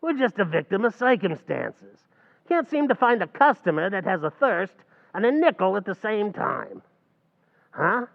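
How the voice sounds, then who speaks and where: A man doing a scheming tavern manager for a radio comedy, with a gravelly, high voice that swoops up and down. He talks with streetwise, mangled grammar. The sound is muffled and rings out in a long hall. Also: big pitch swings, moderate pace, exaggerated voice. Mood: wheedling. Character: scheming, fast-talking male tavern manager. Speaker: stage actor in a radio play recreation. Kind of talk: old-time radio comedy acting.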